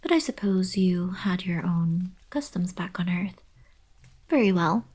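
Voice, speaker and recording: A woman playing a gentle, regal young alien princess in an intimate ASMR roleplay, soft-spoken and half-whispering, a little hesitant and shy. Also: on the low side, animated, unhurried, breathy edge. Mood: flustered, playful.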